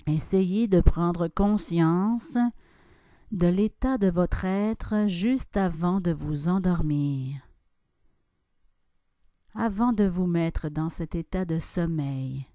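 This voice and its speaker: A woman guides a deep relaxation session in a mellow, soothing tone. She speaks extremely slowly and softly, leaving long silences between instructions. The sound is dry and close, with no room reflections. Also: no room sound. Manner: calm, soft instructions with long pauses. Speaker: yoga nidra guide.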